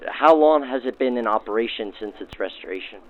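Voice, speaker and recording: A male interviewer with a medium-pitched voice puts questions to guests. The sound is narrowed by a vintage radio filter. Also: expressive, a little fast. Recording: band-limited old-radio sound.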